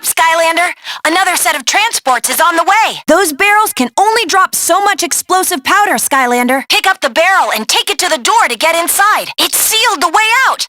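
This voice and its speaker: A woman's voice: extremely high pitch, bright, forceful tone, full character voice. A woman playing a brave, caring young woman who guides heroes through battle in a video game, urging and encouraging them as she gives directions. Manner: urgent, encouraging mission directions. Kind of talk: video game character voice lines.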